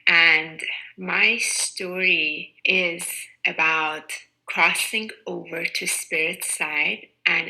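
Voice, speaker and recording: A woman reverend slowly and calmly telling the story of her near-death experience. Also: fairly low pitch, low-quality recording. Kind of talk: slow personal testimony.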